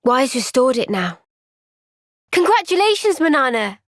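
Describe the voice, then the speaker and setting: A woman voicing an earnest, kind young woman soldier in a video game, speaking gently and sincerely in a thoughtful, resolute way. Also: high voice, very animated, a little slow, dry recording, studio quality, heavily acted. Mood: bright, pleased. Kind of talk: video game cutscene voice acting.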